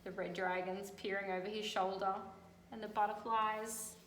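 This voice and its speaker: An Australian woman teacher reading a picture book aloud and gently pointing out details in the pictures. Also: mid-range voice, level tone, normal speed. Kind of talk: storybook read-aloud with commentary on pictures. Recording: echoey room, faint background noise.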